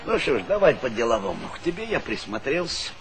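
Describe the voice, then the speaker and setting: A hearty, middle-aged farm chairman, talks business in an expansive, folksy way. His voice sits at an ordinary male pitch, with faint music in the recording of this Soviet comedy. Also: sing-song, brisk. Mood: persuasive, businesslike. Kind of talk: Soviet stage comedy acting.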